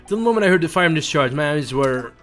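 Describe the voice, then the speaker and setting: A man's voice: on the high side, expressive, fast, a bit bright. A man voicing a stiff, bumbling army sergeant in a video game, formally obedient and stammering when cornered. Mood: stubborn. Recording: music behind the voice.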